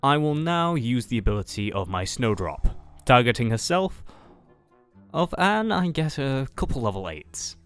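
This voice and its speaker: A man's voice: mid-range voice, sing-song, normal speed, a bit bright, clean tone, soft background music. A man playing out an improvised card-duel roleplay, announcing each move with theatrical flourish.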